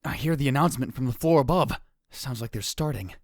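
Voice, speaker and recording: A man's voice: mid-range voice, fast, darkish tone, no echo, studio quality, lightly acted. A man playing a theatrical, hot-headed young self-styled mad scientist in an anime visual novel dub, with grandiose delivery. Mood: brooding. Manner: dramatic, grandiose outbursts. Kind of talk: anime visual novel fan dub, first-person narration.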